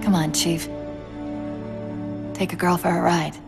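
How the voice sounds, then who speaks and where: A woman's voice: on the low side, flat delivery, a little slow, creaky, over loud music. An actress playing a brilliant female artificial intelligence in a video game, articulate and reflective with a wry streak. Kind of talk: dramatic video game acting.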